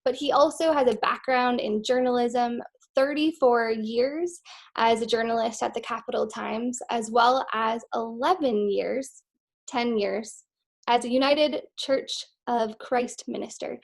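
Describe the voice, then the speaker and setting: A woman's voice: on the high side, a little slow, creaky. A woman hosting an interview, upbeat and encouraging, with short affirmations. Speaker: interview host.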